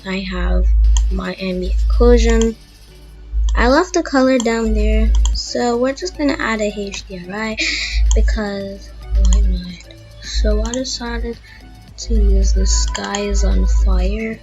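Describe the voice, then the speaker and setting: Female tutorial host, unhurried and informal, thinking aloud step by step; dull lo-fi mic with music underneath. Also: medium pitch, a little mellow. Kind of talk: casual voiced software tutorial.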